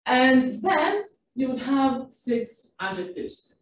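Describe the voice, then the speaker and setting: A woman's voice: high-pitched, sing-song, slow. Woman researcher giving a seminar talk, explains step by step and corrects herself, recorded from a distance in a very echoey room with faint hiss. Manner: explanatory, with frequent fillers and self-corrections. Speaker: researcher presenting a study. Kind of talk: academic seminar presentation.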